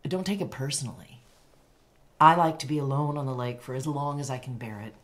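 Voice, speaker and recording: Very deep-voiced, blunt woman writer. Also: fairly quick. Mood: guarded, apologetic. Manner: blunt, dry, terse. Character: blunt, solitary, world-weary woman novelist. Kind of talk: naturalistic radio drama acting.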